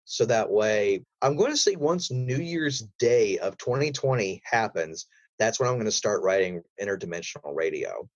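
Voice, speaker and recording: A man's voice: lowish voice, animated, moderate pace. A man speaking as a podcast guest, giving advice in casual, emphatic, rambling explanations. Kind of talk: casual interview chat with advice. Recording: roomy, slightly dull audio.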